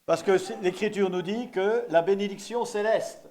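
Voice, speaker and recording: Higher-voiced male preacher from eastern France. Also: normal speed, darkish tone. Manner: exhorting, repeating key phrases for emphasis. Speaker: visiting evangelical pastor preaching. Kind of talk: sermon.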